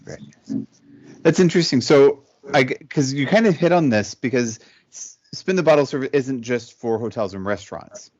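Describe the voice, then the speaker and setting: A man's voice: mid-range voice, lively intonation, normal speed, darkish tone. Man hosting over a muffled call. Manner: attentive, affirming, many "right"s. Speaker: host. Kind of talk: virtual interview hosting. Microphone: video call. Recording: muffled video call audio.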